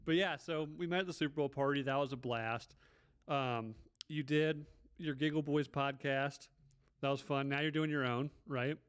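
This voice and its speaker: A man's voice: fairly high pitch, fairly even intonation, moderate pace, clear, resonant tone. A man in his thirties hosting a podcast, teasing his guest and telling casual stories with some swearing. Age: 30s. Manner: ribbing, anecdotal, casual swearing. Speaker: podcast host.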